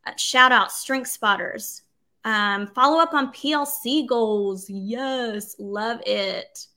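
Woman, fairly high pitch, sharp bright tone, enthusiastic, emphatic, chatty livestream coaching style. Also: slow. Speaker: instructional coach and consultant leading a livestream. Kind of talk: casual livestream tutorial, answering comments.

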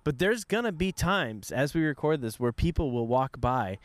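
A young man co-hosting a podcast, wry and deadpan in casual banter. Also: on the high side, normal speed, no room sound. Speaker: podcast co-host.

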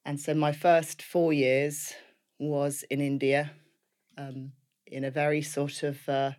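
A woman in her fifties with a clear Liverpool accent, talking through her life story as an interview guest. She is chatty and laughs often, with plenty of ums and restarts as she goes. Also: deep voice, little pitch movement, takes it slowly, a little mellow. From Liverpool, England.

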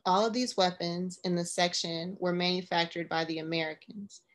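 A woman voicing a stern, serious father who speaks slowly and deliberately to his child in an audiobook reading. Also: on the low side, steady tone, lightly acted. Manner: slow and deliberate.

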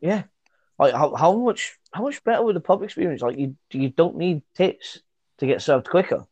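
A young man co-hosting a podcast, talking in excitable, sweary banter with frequent quick restarts. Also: on the high side, dry recording, highs cut off. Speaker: podcast co-host.

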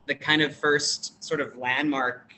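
Non-binary american teacher on a video call, bright voice, lots of ums and restarts, thinking it through. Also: medium pitch, level tone, unhurried, a little hiss or hum. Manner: thoughtful, hesitant, with many fillers and restarts. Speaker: teacher at an international school; workshop facilitator. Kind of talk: casual interview talk.

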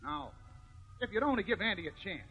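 An earnest outdoorsman hero in an old radio adventure. He speaks high for a man, with lively swings of pitch and emphatic reasoning, on a muffled vintage recording. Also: brisk. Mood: earnest, insistent. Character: earnest outdoorsman hero defending his dog. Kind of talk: 1950s radio drama acting.

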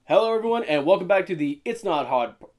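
A man's voice: high voice, expressive, fast talker. A young man co-hosting a casual podcast, joking around with his friend, often stammering and restarting his sentences. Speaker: podcast co-host. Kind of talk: casual banter.

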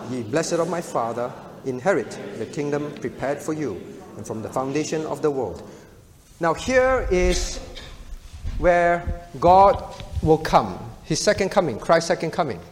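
A man's voice: fairly high pitch, animated, measured pace. A man preaching an expository sermon in short, emphatic phrases, teaching didactically and posing rhetorical questions. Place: large reverberant room, preaching to a congregation. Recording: reverberant hall sound.

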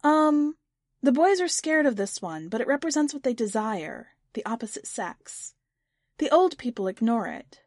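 Narrator doing a hesitant young woman in a storybook, earnest, higher pitched, dry close sound. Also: sing-song, moderate pace, darkish tone, no echo. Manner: tentative, earnest, improvising. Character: hesitant, inventive young woman answering an eccentric mentor.

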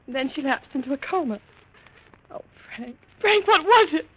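High-pitched, distraught wife. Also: female voice, animated, slight background noise. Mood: grieving. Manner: halting, melodramatic. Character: distraught, frightened wife of a rich mine owner. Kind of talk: 1940s radio drama acting. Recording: dull, lo-fi old radio recording.

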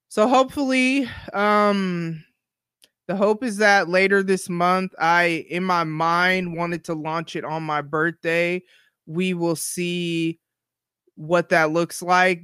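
A woman's voice: low-pitched, a bit bright, smooth, no room sound, studio quality. A woman in her forties hosting her own podcast, talking in a casual, rambling, encouraging way. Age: 40s. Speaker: podcast host. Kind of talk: casual solo podcast chat.

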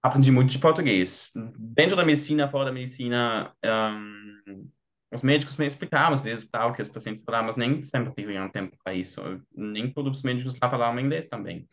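German guy, young, accented portuguese, lots of pauses and fillers, medium pitch. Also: dull audio. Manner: searches for words, many "tipo" fillers. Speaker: podcast guest. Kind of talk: casual conversation.

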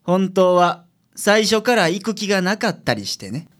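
A man's voice: sits high, very animated, moderate pace, fairly bright tone. A man playing a shrewd, blunt, laid-back male private detective with dry, probing delivery in a radio drama.

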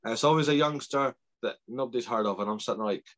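A man's voice: mid-range voice, quick pace, a bit bright. A Scottish man hosting a casual interview, speaking in an informal, self-deprecating way. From Scotland. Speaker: podcast host interviewing athletes.

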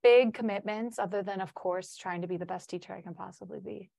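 A woman in her early twenties talks casually as a podcast guest, with an informal, upbeat manner. Her pitch sits in the middle range for a woman, and the sound is very dry. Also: fairly even intonation, fairly quick, gravelly, darkish tone, no echo. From Chicago, United States. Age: early 20s. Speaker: podcast guest, student teacher in training. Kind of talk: casual interview chat.

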